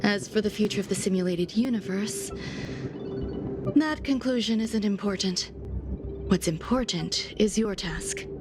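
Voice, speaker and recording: An actress voicing a cool, composed female genius scientist in a video game, speaking in a calm, measured way. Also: medium pitch, music in the background, lightly acted. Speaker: game character.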